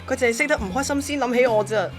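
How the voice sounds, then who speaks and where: A woman's voice: fairly high pitch, very fast, soft background music, voice pushed up, natural voice. Woman playing an obsessive, melodramatic lovesick male courier. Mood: bitter, menacing. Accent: Hong Kong Cantonese. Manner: pleading, melodramatic. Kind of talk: amateur radio drama acting.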